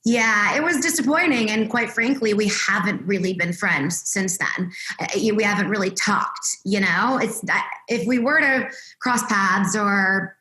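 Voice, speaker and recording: Podcast guest, woman, big projecting voice, bright and forceful, echoey room. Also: mid-range voice, a little slow, few pauses. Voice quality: loud, projecting voice. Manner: candid, upbeat, laughs often. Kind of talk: casual podcast chat.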